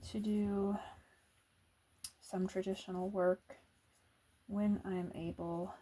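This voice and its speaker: A woman artist chatting softly in an ASMR video, thinking aloud about her work as she draws. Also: lowish voice, slight background noise. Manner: thinking aloud, unhurried and self-reflective. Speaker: artist and channel host. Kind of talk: soft-spoken ASMR chat while drawing.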